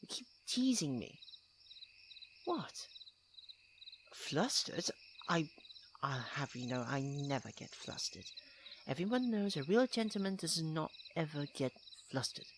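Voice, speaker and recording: Over clearly audible background noise comes a proud young prince played by a man in an ASMR roleplay. He speaks slowly in a posh, halting way, teasing and defensive, with a tenderness he tries to hide. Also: fairly high pitch, sing-song. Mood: flustered, defensive.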